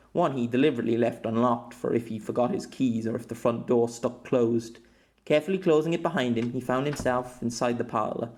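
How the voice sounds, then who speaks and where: A man's voice: mid-range voice, a little mellow. A man narrating a novel as an audiobook, voicing every character himself. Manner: reads evenly, voices every character himself.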